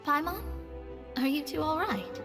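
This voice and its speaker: A woman's voice: sits high, lively intonation, measured pace, in a large hall, over loud music. A woman playing an earnest, proud young astrologer in a video game, speaking in a formal, articulate, thoughtful way. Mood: concerned.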